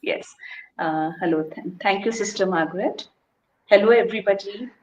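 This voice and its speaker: A middle-aged woman from Nepal, a panelist and former homemaker, speaking with slow, careful phrasing. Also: mid-range voice, a little mellow, slight background noise. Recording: echoey room.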